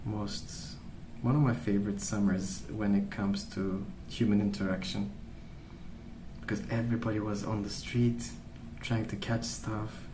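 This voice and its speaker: A young man rambling in a gentle, soft-spoken ASMR style, musing aloud without hurry. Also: fairly low pitch, little pitch movement, unhurried. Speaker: ASMR video creator. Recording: echoey room, clear background noise.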